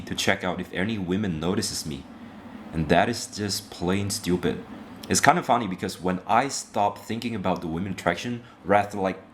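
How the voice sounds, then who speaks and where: A young man lectures his viewers in a blunt, profane rant, calling them "bro". His voice sits low, and a faint hiss runs under it. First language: Indonesian. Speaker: self-improvement vlogger.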